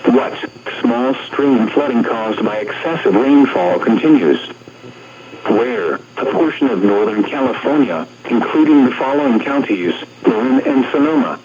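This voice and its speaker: A man reading a scripted automated weather bulletin in a formal, measured, synthetic-sounding way, as a radio announcer. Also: mid-range voice, animated. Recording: dull lo-fi radio broadcast with static noise.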